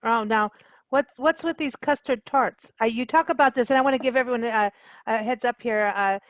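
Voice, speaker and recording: Woman radio host, higher voice, excited, stumbles over words a lot. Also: no echo. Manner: eager, halting, lots of fillers and repeats. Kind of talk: casual interview chat.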